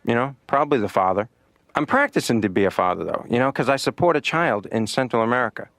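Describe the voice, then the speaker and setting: A middle-aged man voicing a cartoon patient who tells stand-up jokes in a deadpan way, filling his lines with "you know.". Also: mid-range voice, fast talker, creaky, dry recording. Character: comedian patient on the therapy couch.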